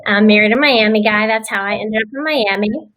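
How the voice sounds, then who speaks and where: A woman's voice: mid-range voice, brisk, fairly bright tone. A woman from Texas with a Texan accent, introducing herself in a friendly, upbeat way on a virtual panel over a video call. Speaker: panelist, dermatologist.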